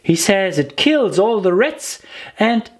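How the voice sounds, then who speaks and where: A woman's voice: mid-range voice, big pitch swings, slow pace, pitched above the performer's own voice. A woman voicing a polite, curious young girl in a children's audiobook, earnest and chatty in manner. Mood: eager.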